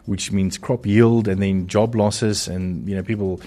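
A man's voice: lowish voice, steady tone, unhurried, mellow. A man with a South African accent hosting a radio talk show in a studio, chatty and rambling with many fillers.

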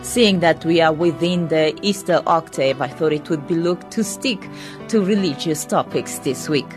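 Low-voiced radio host. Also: female voice, moderate pace, over music. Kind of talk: scripted news reading. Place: radio studio.

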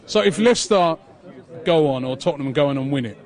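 A man interviewing a football fan for a fan channel, reassuring and trying to keep things calm. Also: fairly high pitch, brisk. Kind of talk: street interview.

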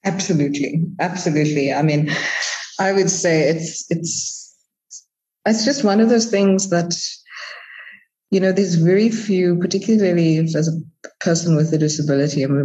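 A woman's voice: low voice, dark tone. A woman chatting informally and encouragingly as a podcast guest, on slightly lo-fi audio. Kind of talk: casual conversational interview.

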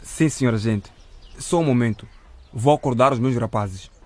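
An actor playing a friendly, crafty truck driver in a radio drama, speaking politely and placatingly. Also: male voice, mid-range voice, normal speed, lightly acted. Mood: polite, nervous.

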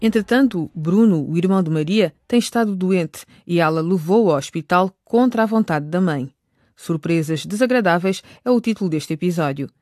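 A man narrating a radio drama, recapping events in a clear, measured way. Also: sits high, expressive, a little mellow, dry recording, clean studio sound.

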